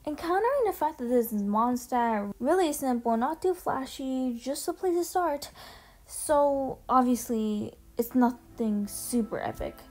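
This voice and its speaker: A woman's voice: sits high, expressive, unhurried, darkish tone. A young woman giving casual, slangy, emphatic opinion commentary as a voice-over. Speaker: video creator ranking game trailers. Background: music under the voice.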